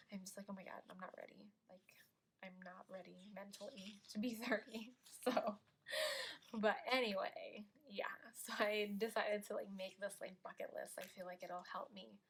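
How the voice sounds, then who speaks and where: Twenties woman, casual planner vlogger, filler-heavy chat, mid pitch, faint clicks and hiss behind. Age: 20s. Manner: chatty, lots of "like" and "um", drops to soft near-whispers. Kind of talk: casual planner flip-through.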